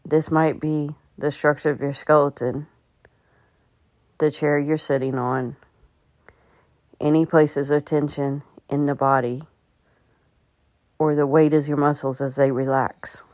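Low, even-toned woman guiding meditation. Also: no room sound. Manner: gentle instruction with long pauses. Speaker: meditation guide and podcast host. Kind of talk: guided meditation.

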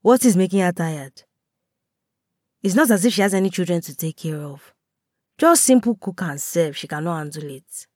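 A woman voicing a domineering older mother-in-law, loud, scornful and commanding. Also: lowish voice, lively intonation, moderate pace, dry recording, studio quality.

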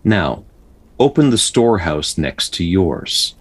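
A solemn messenger of the dead voiced by a man from a folktale. The voice is deep and husky, giving formal instructions with calm command. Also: slow, exaggerated voice.

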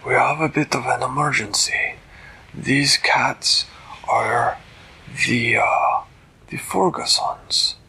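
A man voicing a courteous, grave cat king in a children's story, with a breathy, gravelly, deliberate delivery. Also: medium pitch, sharp tone, a little hiss or hum, exaggerated voice. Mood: courteous, concerned.

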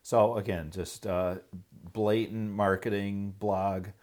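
A man's voice: on the low side, steady tone, slow pace, a little mellow. A man hosting a podcast, promoting industry events in a casual, enthusiastic and chatty way.

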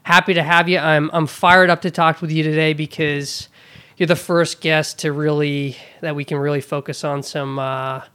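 A man's voice: fairly high pitch, little pitch movement, normal speed, bright, forceful tone, clean tone. A man hosting a podcast interview in a hotel room, enthusiastic and full of fillers. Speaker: podcast host.

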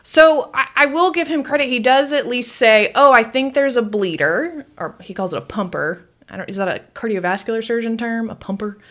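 A woman co-hosting a show, recapping and commenting casually, with wry, animated retelling and questioning asides. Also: fairly high pitch, brisk, hardly any pauses. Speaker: podcast co-host.

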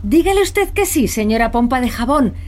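A woman's voice: fairly high pitch, sing-song, normal speed, darkish tone. A woman playing a snooty, scolding talking jacket in a children's radio play, haughty and sarcastic. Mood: haughty, exasperated.

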